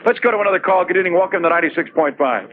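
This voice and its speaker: Fast, high-pitched male radio host. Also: animated. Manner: brisk, blunt, bantering. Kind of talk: live radio hosting. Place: live remote broadcast at a venue. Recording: thin phone-like 1980s radio tape.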